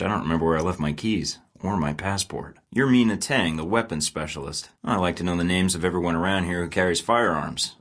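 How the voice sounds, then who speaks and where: A deep, deadpan secret agent. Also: male voice. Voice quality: deadpan, wry and understated. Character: dry, sarcastic male secret agent, adult. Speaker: video game protagonist. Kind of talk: game voice lines.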